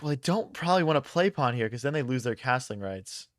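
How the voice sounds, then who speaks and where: A man's voice: medium pitch, expressive, no room sound, clean studio sound. Casual young man streaming chess. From Philadelphia, USA. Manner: thinks aloud, casual slang, quick reactions to chat. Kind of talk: casual live-stream commentary.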